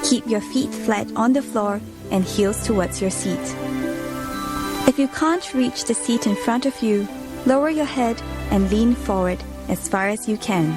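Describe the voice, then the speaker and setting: Woman doing safety video voiceover, kinda high voice, measured, loud music behind her. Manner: measured, instructional. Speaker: airline safety video narrator. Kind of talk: scripted safety instruction narration.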